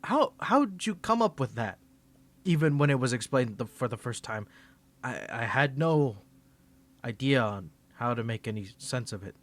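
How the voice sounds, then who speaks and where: A man's voice: medium pitch, lively intonation, normal speed, dry recording, close to an everyday voice. A man voicing a flustered young tsundere male student rival in an ASMR roleplay, teasing and defensive, stammering when caught off guard. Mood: exasperated. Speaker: ASMR creator.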